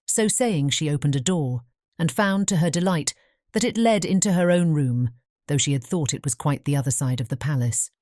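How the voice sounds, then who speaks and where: A woman narrating a fairy tale audiobook in a measured bedtime storytelling manner. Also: low voice, animated, darkish tone, no echo, studio quality.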